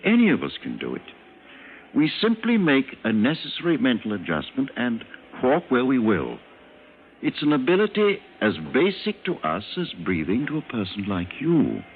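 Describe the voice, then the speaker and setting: Vintage radio superior doctor type, smug n lecturing, hugely swoopy delivery, tinny sound. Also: male voice, medium pitch, huge pitch swings. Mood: calm reassurance. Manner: lofty, didactic, deliberate. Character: superior evolved man, a calm, condescending, visionary doctor. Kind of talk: old-time radio drama acting. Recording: noisy, narrow-band old radio recording.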